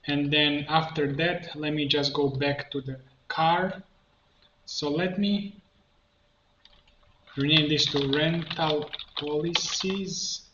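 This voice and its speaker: A man giving an unscripted software tutorial, haltingly narrating each step as he works and thinking aloud. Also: on the high side, fairly even intonation. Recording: muffled lo-fi, roomy echo, background noise.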